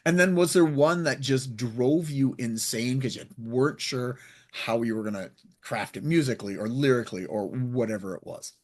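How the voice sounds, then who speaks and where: A man's voice: medium pitch, normal speed. A man hosting a casual radio interview, asking questions in a curious, conversational manner. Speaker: radio show host, interviewer.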